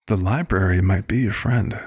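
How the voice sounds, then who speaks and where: A man playing a compassionate, fatherly vampire doctor in an ASMR roleplay, speaking in a measured, formal, hushed way with a low, husky, gravelly voice. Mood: warm, encouraging. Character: compassionate old vampire doctor, fatherly, weary, gently persuasive.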